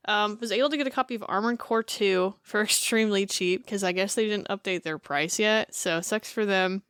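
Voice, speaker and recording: A woman co-hosting a casual podcast chat, talking in quick asides and laughing often. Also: medium pitch, fairly bright tone, smooth, no echo, clean studio sound. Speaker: podcast co-host.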